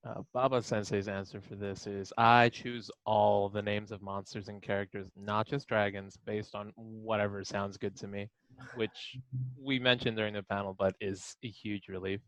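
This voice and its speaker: Male panelist, lower register, conversational, reading prepared answers aloud. Very dry sound. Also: little pitch movement, normal speed, a bit bright, dry recording, rough recording. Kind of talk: casual panel chat.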